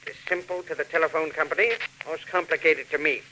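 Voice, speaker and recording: An actor playing a shrewd, mercenary villain in a 1940s radio drama, speaking in a precise, theatrical, imperious way. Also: male voice, on the high side, steady tone, fast talker, pushed and bright. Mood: proud, showy. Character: shrewd, mercenary male villain selling a stolen robot. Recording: noisy old 1940s radio recording.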